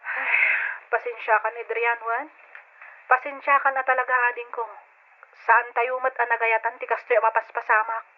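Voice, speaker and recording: An actress playing a concerned, caring older sister in an old radio drama, speaking in a solicitous, emotional manner. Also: female voice, on the high side, little pitch movement, normal speed, natural voice. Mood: sad, apologetic. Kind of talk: old-time radio drama acting in Ilocano. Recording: thin phone-like radio drama sound.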